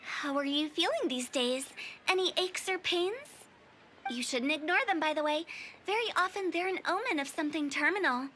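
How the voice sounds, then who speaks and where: A woman's voice: sits high, expressive, moderate pace, background noise. A woman voicing a cheerful, eccentric young female funeral director in an anime game, playful, theatrical and chatty. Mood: chirpy, salesy.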